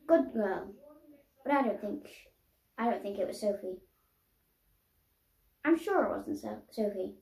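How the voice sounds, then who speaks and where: A woman reading a children's picture book aloud expressively, pausing to explain the pictures. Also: medium pitch, expressive, gravelly, a little mellow. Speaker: storybook reader. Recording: roomy, echoing sound.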